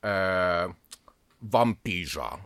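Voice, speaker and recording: A man plays a ponderous therapist in a radio comedy. He speaks at a mid pitch and very slowly, dropping dry, musing asides with animated intonation, in a close dry sound. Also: clean tone, no echo, exaggerated voice. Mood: musing, sardonic. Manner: musing, drawn-out, deadpan asides. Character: dryly sardonic, ponderous psychotherapist. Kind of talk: radio comedy drama acting.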